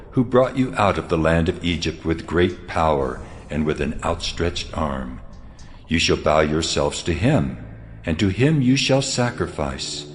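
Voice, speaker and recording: God in an audio scripture drama, very low and grave. Each phrase lands slowly, with weight and command, over music. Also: male voice. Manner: slow, weighty, solemn pronouncement. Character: God, a solemn commanding ancient deity.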